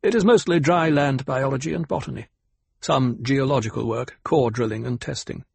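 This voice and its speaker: A proud, guarded desert scientist played by a male narrator, pitched up high with a husky edge. He speaks formally and curtly, stressing his words stiffly, as a character in an audiobook. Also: animated, normal speed, no echo, heavily acted. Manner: stiff, curt, formal, emphatic.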